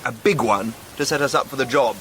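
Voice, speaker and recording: An actor playing a chummy, eager English amateur archaeologist in a radio drama, with an old-fashioned, enthusiastic way of talking. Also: male voice, medium pitch, a little fast, background noise, lightly acted. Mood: wistful, cheerful. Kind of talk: naturalistic radio drama acting.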